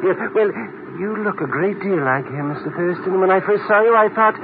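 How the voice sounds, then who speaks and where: A man's voice: high-pitched, brisk, lightly acted. A man playing an absent-minded, apologetic botany professor in 1950s radio drama, fussy and rambling, on a muffled, noisy old broadcast. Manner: fussy, rambling, repeating himself, trailing off.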